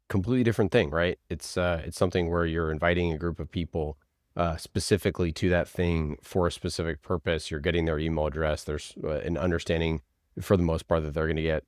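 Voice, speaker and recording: A man answering interview questions casually, in a halting, conversational way with many small filler words. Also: deep voice, darkish tone, no echo, clean studio sound. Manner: halting, conversational, frequent "like" and "you know". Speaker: podcast producer, interview guest.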